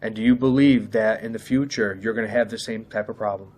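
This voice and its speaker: A man's voice: fairly low pitch, fairly even intonation, a little fast, darkish tone, close to an everyday voice, low-quality recording. A man playing a stern, orderly school disciplinarian in an amateur dramatization, questioning in a firm, formal way. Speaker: school administrator questioning students.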